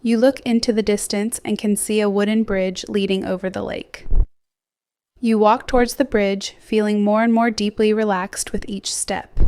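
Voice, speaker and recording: A woman gently guiding a sleep hypnosis meditation in a slow, soothing, scripted delivery with softly trailing phrases. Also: medium pitch, smooth, no room sound. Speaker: hypnosis guide.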